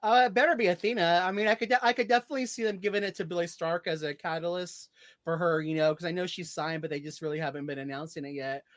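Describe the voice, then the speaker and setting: A man co-hosting a wrestling podcast, talking fast in a slightly high voice. He jokes around, laughs a lot and lets his sentences trail off. The recording is dry and close. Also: steady tone, fairly bright tone, no room sound. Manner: fast, jokey, laughs often, trails off at line ends. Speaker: podcast co-host. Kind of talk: casual wrestling podcast chat.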